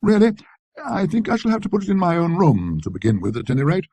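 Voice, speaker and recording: A man voicing a haughty, fussy gentleman collector with a clipped, ironic, bossy manner, in a storybook reading. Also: on the high side, huge pitch swings, fast, no room sound, voice pushed up, exaggerated voice. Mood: fussy, anxious.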